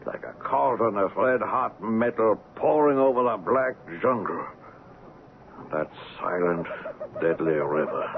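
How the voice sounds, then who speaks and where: An actor playing a bitter, high-strung European ex-army ivory trader in a radio drama, theatrical and brooding. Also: male voice. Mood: brooding despair. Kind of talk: 1970s radio drama acting. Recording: muffled, noisy 1970s AM radio recording.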